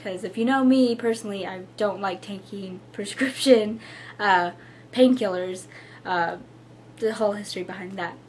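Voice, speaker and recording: Young woman w/ a husky hoarse voice, chatty knitting host, faint room noise behind. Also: mid-range voice, moderate pace, darkish tone, low-quality recording. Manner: chatty, apologetic, with frequent fillers and little laughs. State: hoarse, losing her voice. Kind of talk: casual chat to camera.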